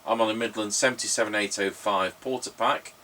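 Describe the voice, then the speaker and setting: A man's voice: on the low side, fairly even intonation, fairly quick, bright, forceful tone. A middle-aged man chatting casually in a rambling, anecdotal way while giving radio test commentary. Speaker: radio repairer and presenter. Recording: noisy, distant microphone.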